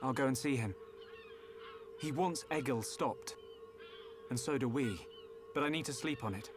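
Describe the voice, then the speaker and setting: A man's voice: mid-range voice, moderate pace, noisy background, natural voice. An actor playing an earnest, caring teenage boy hero in a game, speaking politely. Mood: subdued, polite. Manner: polite, sincere. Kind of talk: video game cutscene acting.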